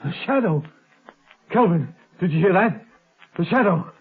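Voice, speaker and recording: A man's voice: high voice, animated, a little slow, breathy edge, exaggerated voice. An actor playing a nervous, reluctant male criminal accomplice in a 1930s radio drama, with an anxious delivery. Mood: panicked, pleading. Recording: tinny narrow-band 1930s radio recording.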